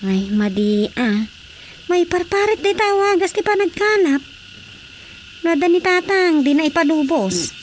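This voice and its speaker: An actress playing a concerned, plain-spoken village daughter in an Ilocano radio drama, earnestly explaining things. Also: female voice, extremely high pitch, sing-song, a little slow, slight background noise, exaggerated voice, rough recording. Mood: advising.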